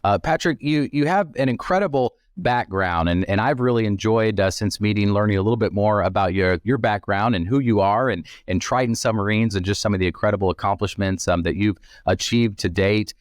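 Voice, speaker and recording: A man hosting an interview podcast in a conversational way, speaking politely with a halting delivery. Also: on the low side, no echo, clean studio sound. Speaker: podcast host.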